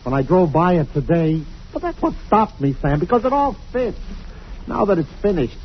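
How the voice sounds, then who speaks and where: A man's voice: high voice, sing-song, normal speed. A male actor playing an uneasy, earnest rental agent in a conversational 1940s radio drama. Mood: earnest, insistent. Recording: muffled, crackly vintage radio recording.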